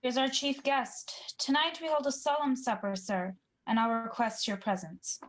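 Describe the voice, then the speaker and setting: Young woman playing ambitious scheming king in old radio shakespeare, voice on the high side. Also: fairly even intonation, moderate pace, soft background music, close to an everyday voice, low-quality recording. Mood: courteous, guarded. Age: young adult. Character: ambitious, guilty, scheming Scottish usurper king Macbeth. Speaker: student actor. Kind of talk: 1940s radio play Shakespeare acting.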